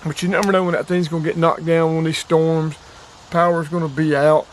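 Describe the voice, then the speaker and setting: A man's voice: a little high, little pitch movement, a little fast, poor audio. A man giving a casual, plainspoken vlog demonstration outdoors, with emphatic repetition. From North Carolina, US. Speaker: outdoors and radio hobby vlogger. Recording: clear background ambient noise.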